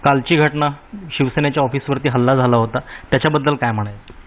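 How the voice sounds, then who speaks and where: A man's voice: medium pitch, fast talker. A man asking questions as a news reporter in an interview. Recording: thin phone-like band-limited audio.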